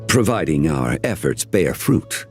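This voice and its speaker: A man voicing an ancient, composed immortal in a video game, solemn and courteous, with measured, archaic phrasing. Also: a bass voice, big pitch swings, soft background music, exaggerated voice. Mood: grave, measured. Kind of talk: scripted video game voice acting.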